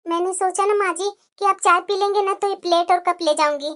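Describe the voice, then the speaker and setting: A woman voicing a meek, dutiful young daughter-in-law in a family cartoon, speaking softly and politely. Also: extremely high pitch, steady tone, brisk. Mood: meek, obedient. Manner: soft, obedient, polite. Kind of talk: Hindi family cartoon dialogue.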